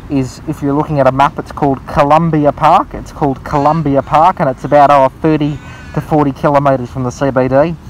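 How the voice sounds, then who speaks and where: A man's voice: medium pitch, low-quality recording. An Australian man talking as an amateur radio operator, repeating phrases and spelling out callsigns. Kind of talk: ham radio conversation. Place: outdoors in a local park. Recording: outdoor recording with faint background noise.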